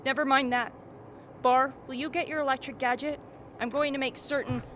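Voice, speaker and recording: A woman's voice: high voice, fairly even intonation, normal speed, background noise, lightly acted. A woman playing a sardonic, authoritative expedition leader in a radio drama. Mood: firm, grim. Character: commanding, sardonic expedition leader among frightened crew.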